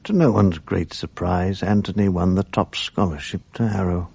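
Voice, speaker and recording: Gravelly low male narrator. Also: fairly even intonation, dark tone. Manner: measured and reminiscent. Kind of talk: reflective memoir narration in radio drama.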